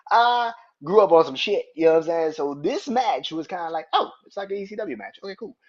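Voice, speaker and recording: Excitable, profane young man hosting a podcast. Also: fairly high pitch, normal speed, dull audio. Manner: profane, excitable, laughs often. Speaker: podcast host. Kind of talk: casual ranking monologue.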